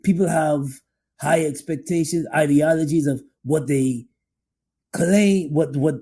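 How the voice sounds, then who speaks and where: A man co-hosting a podcast, discussing relationships conversationally in a halting way, often repeating his phrases. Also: on the high side, warm tone, slightly rough. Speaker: podcast co-host.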